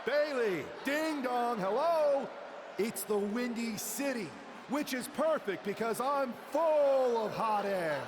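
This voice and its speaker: A pompous male wrestler delivers a mocking parody promo in an extremely high voice. He stretches words out slowly and theatrically over a noisy arena in a wrestling game. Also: animated, full character voice. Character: boastful wrestler doing a mocking parody of his rival. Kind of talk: shouted wrestling promo acting.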